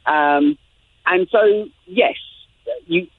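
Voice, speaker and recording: Man, English accent, tinny phone line, lively swinging pitch, restarts a lot. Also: drawn-out words, creaky, breathy edge. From England. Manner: animated, thinks aloud with frequent restarts and fillers. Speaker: journalist guest. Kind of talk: expert commentary by phone.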